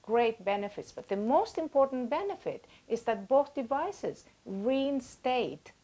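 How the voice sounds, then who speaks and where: Animated woman in her sixties explaining. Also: sits high, unhurried. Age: 60s. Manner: animated, gesturing, uses everyday comparisons. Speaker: guest expert. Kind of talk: explanatory interview talk. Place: small indoor office. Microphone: lapel mic.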